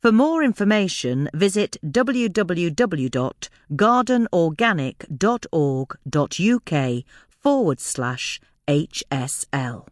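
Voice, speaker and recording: A woman reading a scripted magazine-style article for a podcast in a measured, carefully enunciated way. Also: fairly low pitch, big pitch swings, no echo, clean studio sound. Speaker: podcast episode reader.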